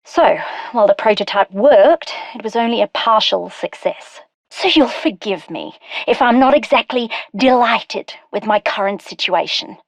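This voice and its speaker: An actress playing a determined, guarded research scientist in a video game, with an earnest, clipped delivery. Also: female voice, a little high, lively intonation, moderate pace, dry recording. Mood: weary, resolute. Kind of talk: scripted game character dialogue.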